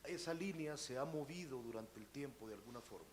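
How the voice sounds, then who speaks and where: A man's voice: mid-range voice, expressive, brisk. A man from El Salvador, earnestly asking the panel a question. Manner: earnest, uses vos. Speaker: audience questioner. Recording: reverberant hall with faint noise.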